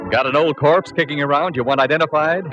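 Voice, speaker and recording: A man's voice: on the high side, fast talker. A male radio announcer introducing a show in a playful, teasing, showy manner, on a muffled old radio broadcast with organ music.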